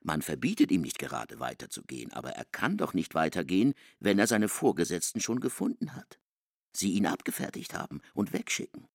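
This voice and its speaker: A man performing literary narration and dialogue for a radio drama in a hushed, measured way. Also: low-pitched, wildly swooping pitch, creaky, no room sound, studio quality.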